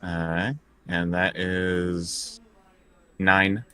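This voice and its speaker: A man's voice: sits low, level tone, extremely slow, bright, forceful tone, clean tone. A man chatting casually as a player in a tabletop role-playing game. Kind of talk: casual chat during tabletop game play.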